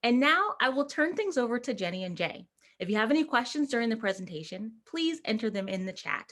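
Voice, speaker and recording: A woman's voice: fairly high pitch, very animated, a little fast, fairly bright tone. A woman hosting an event, reading procedural announcements. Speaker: event host. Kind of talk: scripted announcements.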